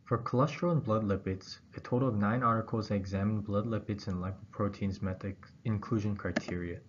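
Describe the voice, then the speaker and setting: A man reads a scripted academic presentation aloud in a formal, halting way, pausing between phrases. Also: on the low side. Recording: dull, muffled audio with background noise.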